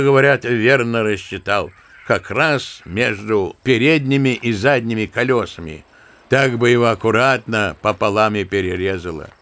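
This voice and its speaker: An old retired general in a radio play, spinning a story with scornful, lively asides. His voice sits at a middle pitch with a gravelly, creaky edge. The storytelling is theatrical yet conversational, as if speaking to a young relative. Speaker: actor. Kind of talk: audio drama acting.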